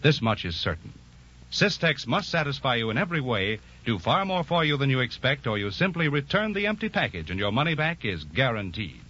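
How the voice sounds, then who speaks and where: A man's voice: mid-range voice, big pitch swings, brisk, bright, forceful tone. A man reading a scripted 1940s radio commercial as an emphatic, persuasive announcer. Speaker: advertisement announcer. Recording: muffled 1940s radio recording.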